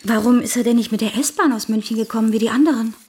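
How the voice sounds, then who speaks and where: Radio play role for an actress: chatty female sidekick, eager and scatty, higher register, slight background noise. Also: fast, darkish tone, natural voice. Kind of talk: radio drama acting.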